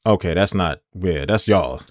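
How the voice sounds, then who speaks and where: A man's voice: low-pitched, normal speed, dry recording, clean studio sound. An American man giving casual, off-the-cuff reaction commentary in a slangy, incredulous way.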